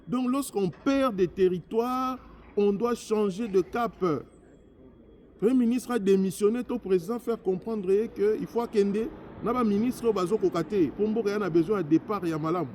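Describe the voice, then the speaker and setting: Congolese man, mellow higher voice, political address. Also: moderate pace, slight background noise. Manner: emphatic, repetitive, switching between French and Lingala. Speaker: politician, former member of parliament.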